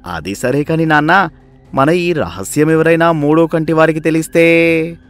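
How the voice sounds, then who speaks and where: A man's voice: a little high, measured pace, no echo, faint music behind, natural voice. A man voicing a curious scheming son. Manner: inquisitive, eager. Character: young adult son, curious and sly. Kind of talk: animated story voice acting.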